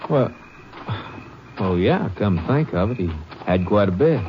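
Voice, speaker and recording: Low-voiced, easygoing millhand. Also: male voice, animated, measured pace, soft background music. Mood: pondering. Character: affable, helpful sawmill hand. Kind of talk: old-time radio western acting. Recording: dull, hissy old radio recording.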